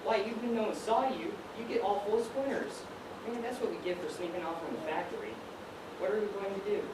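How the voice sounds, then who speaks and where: A man's voice: high voice, fast talker, recorded from a distance, close to an everyday voice. A teenage male student playing a nervous, doubtful young factory worker in a stage play, with anxious, exclamatory delivery. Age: teens. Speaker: student actor. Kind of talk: high school stage play acting. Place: stage in a large room, distant mic. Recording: echoey, noisy stage recording from afar.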